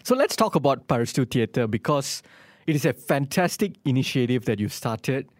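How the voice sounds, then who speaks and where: A man's voice: mid-range voice, animated, moderate pace, no room sound, clean studio sound. A man hosting a conversational interview, encouraging his guest and recapping before he asks a question. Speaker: interviewer, host.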